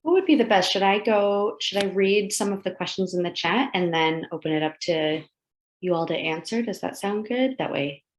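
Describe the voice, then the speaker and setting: This is a woman moderating a panel on a video call with a mid-pitched voice. She reads out questions and hands over to others in an enthusiastic, encouraging way. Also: normal speed, poor audio.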